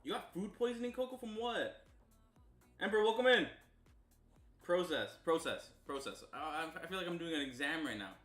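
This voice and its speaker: A young man from Canada chats casually with his stream audience in a bright, forward voice. He mumbles along and then erupts into loud greetings. The room sounds echoey, with faint music underneath. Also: fairly high pitch, moderate pace. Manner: loose and chatty, bursts of loud excitement. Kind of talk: casual stream chat with viewers.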